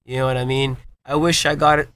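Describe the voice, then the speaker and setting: A man's voice: medium pitch, little pitch movement, fast, fairly bright tone. A man talking loosely on a podcast, swearing often and rambling with restarts. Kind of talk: casual chat.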